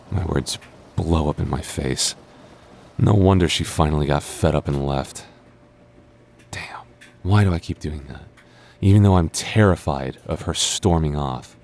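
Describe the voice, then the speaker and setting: A man playing a stubborn young guy with a low, deep voice, confessing to his childhood friend in a romantic audio roleplay. He is earnest and regretful, stumbling and sighing through his words. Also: darkish tone, a little hiss or hum. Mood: frustrated, anxious. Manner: halting, stammering, sighing, earnest. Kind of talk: intimate boyfriend roleplay audio drama.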